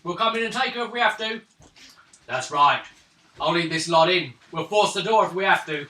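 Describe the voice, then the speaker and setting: A man voicing an angry male villager leading a mob, loud and threatening. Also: high voice, lively intonation, normal speed, voice pushed up. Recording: roomy room, mic far off.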